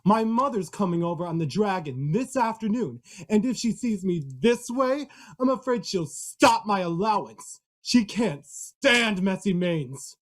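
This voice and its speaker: A woman voicing a vain, hot-tempered male lion who roars and blusters in a slow, gruff voice. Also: mid-range voice, lively intonation. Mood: menacing.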